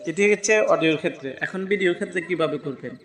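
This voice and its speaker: A man's voice: on the high side, very fast, a bit bright. A man gives a spoken step-by-step app tutorial, addressing viewers directly as friends. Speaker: tutorial host.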